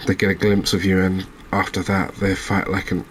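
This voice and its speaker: A man giving quiet, unhurried ASMR-style commentary as he plays a video game, musing aloud with hesitant pauses. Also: deep voice, monotone, a little hiss or hum. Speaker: host of a gaming channel playing a fishing game.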